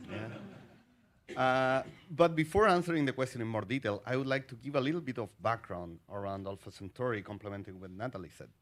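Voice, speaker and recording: A middle-aged man from Chile speaks as an astronomer on a panel. His talk is halting, full of fillers and restarts, in a mid-range voice. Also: medium pitch, animated.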